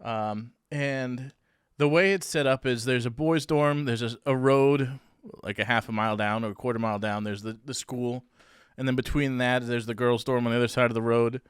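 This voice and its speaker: A man's voice: medium pitch, steady tone, moderate pace, clean tone, no room sound, studio quality. A man co-hosting a podcast, telling stories in a chatty way and laughing often. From New Hampshire, USA. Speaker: podcast co-host. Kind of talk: casual chat.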